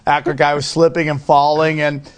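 A man's voice: a little high, level tone, moderate pace. A middle-aged man talking casually as an interview guest, a comedian who tells things in an emphatic way, repeating himself for effect.

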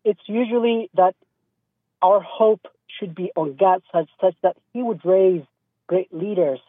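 A man's voice: high-pitched, a little slow, no echo. A man from East Africa calling in to a radio show, speaking hesitantly with many fillers and restarts. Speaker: caller. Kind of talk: call-in radio conversation. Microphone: phone. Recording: tinny phone line.

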